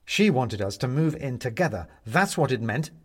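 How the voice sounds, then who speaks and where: A man's voice: medium pitch, lively intonation, moderate pace, breathy edge. Man acting a peevish, indignant selfish man. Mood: furious. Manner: testy, defensive, emphatic.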